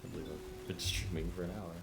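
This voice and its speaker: Low voiced guy streaming a game, playful offhand chatter, music really loud behind him. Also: flat delivery, fast, vocal fry. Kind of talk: casual live gameplay commentary.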